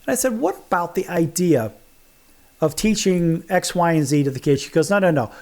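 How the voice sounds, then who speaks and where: A man hosting a sports talk show, animated and firing off rhetorical questions. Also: fairly high pitch, moderate pace. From United States. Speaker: host.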